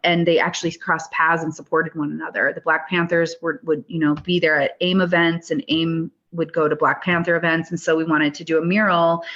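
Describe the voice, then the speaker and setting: A woman's voice: deep voice, flat delivery, a little fast, few pauses, fairly bright tone, highs cut off. A woman guest artist in an interview, speaking earnestly and thoughtfully, often restarting her sentences. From Standing Rock, US. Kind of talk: interview conversation. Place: Denver, US.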